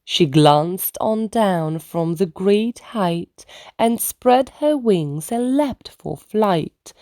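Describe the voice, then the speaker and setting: A woman's voice: mid-range voice, lively intonation, extremely slow, mellow, no room sound, clean studio sound. A woman storyteller reading a rhyming children's book aloud as scripted narration.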